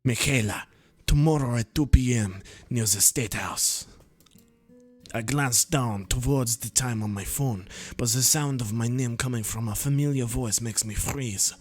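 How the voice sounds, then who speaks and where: A man in his twenties with a strained, tiring voice, reading a visual novel aloud in a slow, deliberate storybook manner with dry self-mocking asides. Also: mid-range voice, a little mellow, soft background music. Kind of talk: dramatic read-through of game text with asides to friends.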